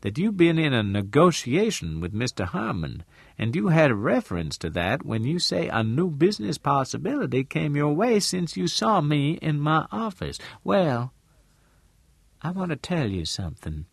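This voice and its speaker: A man voicing an earnest, shrewd woman who works in real estate, speaking in a halting, deliberate way in an audiobook. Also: big pitch swings, creaky, husky, no echo, exaggerated voice. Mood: probing, curious. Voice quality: low, mellow.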